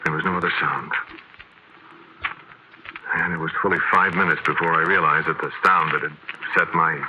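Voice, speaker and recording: An actor playing an uneasy, skeptical man who narrates his haunting haltingly in a 1940s radio horror drama, on a noisy old recording. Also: very deep voice, fairly even intonation, muffled recording. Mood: brooding narration. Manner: hesitant, brooding, halting.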